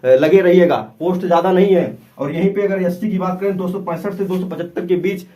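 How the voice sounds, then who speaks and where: A man's voice: high-pitched, fairly even intonation, a little fast, warm tone. A man giving an informal, instructive explanation to viewers, often repeating himself and urging them to pay attention. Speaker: exam-prep YouTube presenter.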